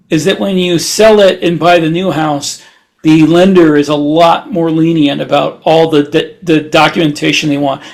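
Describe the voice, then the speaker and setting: Higher-pitched man in casual podcast chat. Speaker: podcast co-host and writer.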